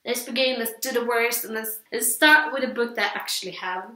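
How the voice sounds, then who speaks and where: A woman's voice: fairly high pitch, a little fast, pushed and bright. A young woman from Sweden, a non-native English speaker, chatting casually about her opinions in a hesitant, rambling, repetitive way. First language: Swedish. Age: teens to young adult. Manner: hesitant, rambling, repeats herself. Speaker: book reviewer. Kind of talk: casual vlog chat. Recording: echoey, roomy sound.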